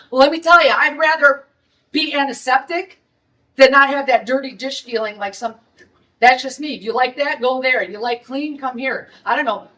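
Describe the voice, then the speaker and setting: A woman's voice: on the high side, a bit bright, far from the mic. A middle-aged woman from Detroit with a Detroit accent, a restaurant owner holding a blunt, indignant press conference.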